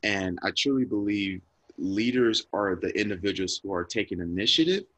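A man with a fairly low voice speaks earnestly and reflectively, close to tears at times. His speech comes through dry and close, with no room sound. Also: moderate pace, a bit bright, husky, dry recording. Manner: earnest, reflective, repeats words when moved. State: emotional, near tears. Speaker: guest speaker. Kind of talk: emotional personal storytelling.

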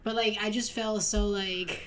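Young woman, lively and casual podcast co-host, mid pitch, faint background noise. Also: a little slow. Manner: animated casual storytelling.

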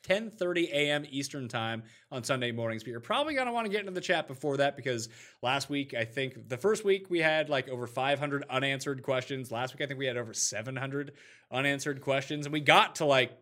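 A man hosting a casual sports talk show, chatting in an animated, slangy and joking way. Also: mid-range voice, a little fast. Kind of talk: casual fantasy football talk show banter.

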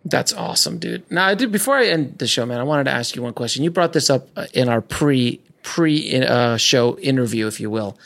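A man's voice: mid-range voice, expressive, a little fast, few pauses, fairly bright tone. A man hosting a casual podcast who asks long, loosely phrased questions full of fillers. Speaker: podcast host.

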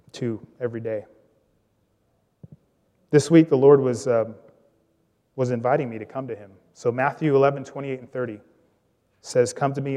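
A man's voice: mid-range voice, a little mellow. Man preaching in an echoey hall. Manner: conversational storytelling, self-deprecating jokes. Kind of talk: sermon. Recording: reverberant hall.

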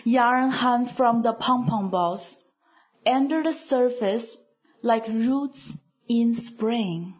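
Slow, breathy woman reading poetry. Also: a little high, dull audio. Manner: soft, deliberate phrasing with pauses between lines. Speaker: poet reading her own poem.

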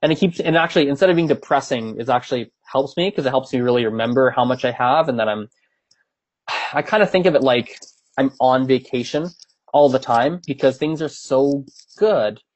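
A man's voice: medium pitch, a little fast, dull audio. Meandering young man, Gujarati native. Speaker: streamer answering viewer questions. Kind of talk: casual livestream chat. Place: at home.

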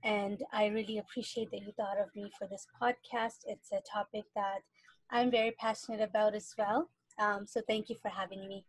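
A woman's voice: mid-range voice, flat delivery, moderate pace, breathy edge, no echo, poor audio. A young adult woman from Iran, a guest on a podcast, talking casually and thoughtfully with frequent fillers. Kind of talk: casual interview talk.

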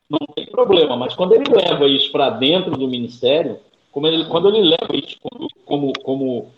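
A man with a Brazilian accent speaking as a panelist in a political discussion, haltingly, often repeating his words. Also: a little high. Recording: dull, noisy, echoey room with clicks.

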